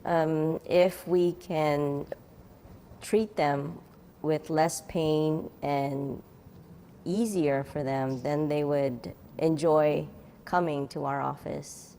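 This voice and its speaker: A middle-aged woman dentist from the Philippines, answering interview questions in a soft, halting way with light laughs. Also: deep voice, fairly even intonation, a little hiss or hum.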